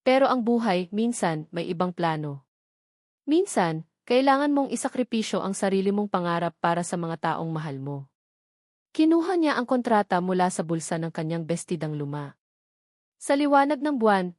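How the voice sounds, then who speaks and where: A woman's voice: medium pitch, animated, normal speed, a little mellow, no room sound, studio quality. A woman reading a scripted, dramatic love story as its storyteller. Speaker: storyteller narrator.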